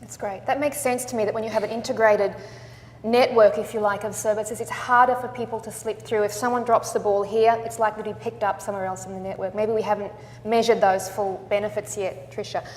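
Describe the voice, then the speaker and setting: A woman moderating a conference panel, measured and inviting as she poses questions. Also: mid-range voice, flat delivery, a little mellow, a little hiss or hum. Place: conference room. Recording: long hall reverb.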